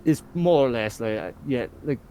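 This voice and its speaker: A man's voice: mid-range voice, lively intonation, moderate pace, mellow, a little hiss or hum, low-quality recording. A man from Wales with a Welsh accent, a guest talking candidly in casual conversation, rambling and often asking "do you know what I mean".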